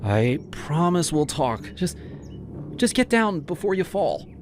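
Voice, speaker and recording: A man voicing a frantic eighteen-year-old college woman who shouts and pleads shrilly. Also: on the high side, very animated, slow, faint music behind, voice pushed up. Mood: pleading.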